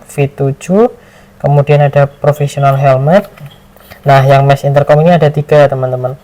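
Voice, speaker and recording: A man's voice: on the high side, darkish tone, poor audio. A man from Indonesia, presenting products in a casual, conversational unboxing style and thinking aloud as he goes. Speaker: product reviewer and vlogger. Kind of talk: casual unboxing and tutorial talk.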